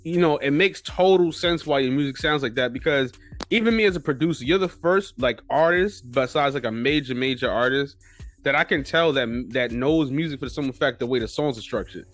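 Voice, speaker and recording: A man's voice: mid-range voice, fairly quick, fairly bright tone, faint music behind. A man with a New York accent hosting a podcast, asking long, rambling questions and eagerly affirming his guest. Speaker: podcast host and producer. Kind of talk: casual interview talk.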